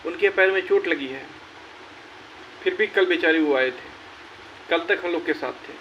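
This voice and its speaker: A man's voice: fairly high pitch, normal speed, bright, forceful tone. A young man hosting his own channel, urging job candidates to join the fight and repeating phrases for emphasis. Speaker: YouTube channel host. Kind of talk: rallying address to job candidates. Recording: dull lo-fi sound with faint hiss.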